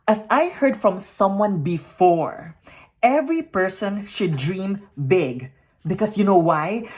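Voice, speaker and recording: A woman's voice: medium pitch, a little mellow, breathy edge. A woman from the Philippines giving a makeup tutorial, upbeat and hyper, tossing in peppy "you know what?" and "yes!" asides between encouraging thoughts. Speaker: makeup vlogger. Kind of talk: makeup tutorial with motivational talk.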